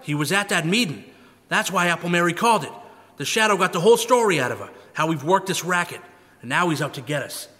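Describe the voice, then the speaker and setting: A man's voice: fairly high pitch, animated, fast talker, pushed and bright. A man acting a jittery small-time crook in a live radio drama, slangy, anxious and insistent. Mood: shaken, insistent. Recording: reverberant live hall recording.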